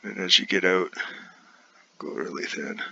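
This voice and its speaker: A man with a cold, a bit congested, casually talking through an inking demonstration as he works, with soft muttered asides. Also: deep voice, normal speed, creaky, muffled recording. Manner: relaxed, talks while working, soft asides. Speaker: comic artist demonstrating inking.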